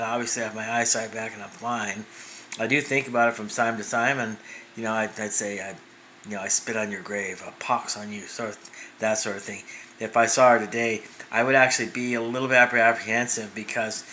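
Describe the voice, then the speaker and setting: A man's voice: mid-range voice, bright, forceful tone, a little hiss or hum. A man in his fifties telling a personal story as a vlogger, rambling with many restarts and fillers. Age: 50s.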